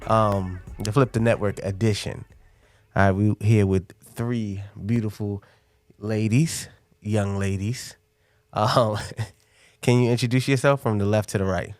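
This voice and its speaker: A man with a fairly low voice, recorded close and dry, hosts a casual interview. He is informal and encouraging as he puts his questions. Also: steady tone, a little slow, no echo, faint music behind. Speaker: interview host.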